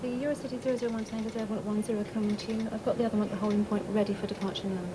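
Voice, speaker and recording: A woman's voice: medium pitch, little pitch movement, very fast. A woman working as an air traffic controller, giving clipped procedural calls.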